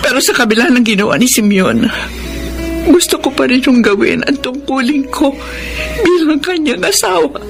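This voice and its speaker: A woman's voice: high voice, expressive, normal speed, vocal fry. A woman playing a devoted, long-suffering Filipino mother in a radio drama. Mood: sorrowful. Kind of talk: radio drama acting with narration. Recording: loud music under the voice.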